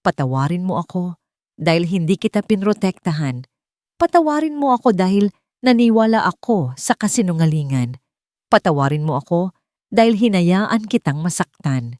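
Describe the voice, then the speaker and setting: A woman's voice: lowish voice, sing-song, normal speed, a little mellow, no echo, studio quality. A woman with a Filipino accent voicing a powerful, wealthy man who is a devoted, protective lover, earnest and emotional, in a romantic audio drama. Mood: anguished, remorseful.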